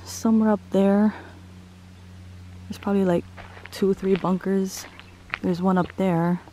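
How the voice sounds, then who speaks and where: A woman's voice: medium pitch, steady tone, a little slow, background noise. Tired woman vlogging on a hike. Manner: breathy, sighing, tired while hiking uphill. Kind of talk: casual hiking vlog narration. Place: outdoor coastal hiking trail. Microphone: handheld camera, held by the vlogger.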